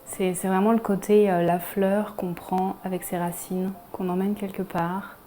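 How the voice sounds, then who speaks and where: Mellow-voiced Frenchwoman with an even delivery. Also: medium pitch, measured pace, slight background noise. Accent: French from France. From France. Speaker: painter, interviewee. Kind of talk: personal documentary interview. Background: background music.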